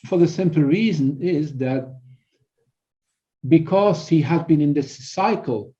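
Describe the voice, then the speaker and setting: Middle-aged man, Belgian accent, soft mellow tone, halting explanations, repeated words, dull lo-fi recording. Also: a little high. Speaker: guest, performance coach. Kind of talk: explanatory interview talk. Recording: dull, band-limited audio.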